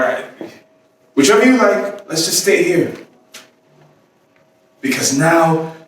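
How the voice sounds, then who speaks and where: A man performing a staged dramatic monologue as a weary, lovesick, despairing man, speaking in halting, broken phrases with long pauses. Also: on the high side. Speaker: stage actor. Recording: roomy echoing stage, distant mic, faint noise.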